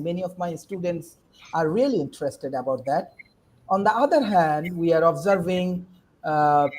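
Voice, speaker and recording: A man's voice: sits high, low-quality recording. A man from Bangladesh with a Bangladeshi accent, a lecturer earnestly asking questions in a forum discussion.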